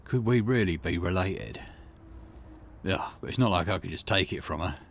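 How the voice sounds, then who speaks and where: A man's voice: low voice, animated, moderate pace, slight background noise, voice pushed down. A man voicing a mild, dopey young boyfriend in a video game, sheepish and easygoing.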